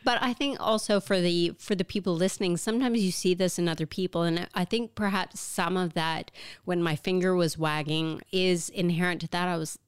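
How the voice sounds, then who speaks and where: A woman's voice: on the low side, fairly even intonation, fairly quick, few pauses, clean tone, dry recording, clean studio sound. A woman in her thirties from Canada, co-hosting a podcast and talking frankly about her past, swearing casually and acting out remembered lines. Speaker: podcast co-host. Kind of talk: candid conversational podcast chat.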